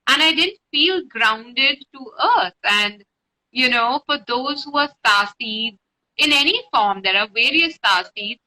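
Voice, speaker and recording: A woman's voice: a little high, lively intonation, measured pace, bright, forceful tone, low-quality recording. A woman spiritual guide talking informally and explaining things on a live stream, recorded on a phone with faint noise. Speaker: spiritual guide and meditation teacher.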